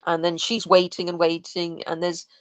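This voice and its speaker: A woman's voice: on the low side, monotone, normal speed, dry recording. A woman chatting casually and thoughtfully about her work on a podcast. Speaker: podcast guest, sound and music designer for audio dramas. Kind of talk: casual chat.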